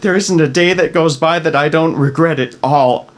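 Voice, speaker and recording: An actor playing a guilt-ridden father in a dramatic script reading, halting and pleading as he speaks. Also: fairly high pitch, lightly acted. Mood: anguished, pleading. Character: guilt-ridden, evasive father hiding a terrible secret. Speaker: actor in a screenplay table read.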